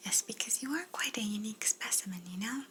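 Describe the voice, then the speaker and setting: A woman voicing a polite, curious alien examiner in an ASMR roleplay, speaking gently and formally in a breathy whisper. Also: on the high side, lively intonation, fast talker, slight background noise. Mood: reassuring, fascinated.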